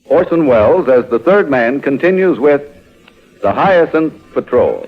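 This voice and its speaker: A vintage radio announcer, a man, delivers his lines with animated inflection. The recording is dull, with music playing loudly under him. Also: mid-range voice, a little slow.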